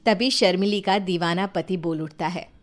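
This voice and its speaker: A woman narrating a scripted story in an expressive, playful and dramatic way. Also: fairly low pitch, normal speed.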